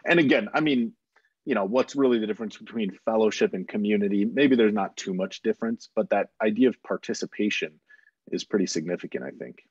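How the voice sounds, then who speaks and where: A man's voice: on the low side, a little fast, a little mellow, husky, no room sound. A man talking thoughtfully and explaining ideas as a panelist on a video call. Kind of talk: roundtable discussion. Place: home room with bookshelves. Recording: dry, muffled video call.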